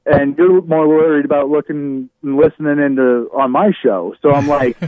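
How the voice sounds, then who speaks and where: A man's voice: on the high side, fairly even intonation, normal speed, dry recording. A man who hosts online radio, chatting casually as an interview guest over a remote call. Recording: muffled narrow-band remote call sound.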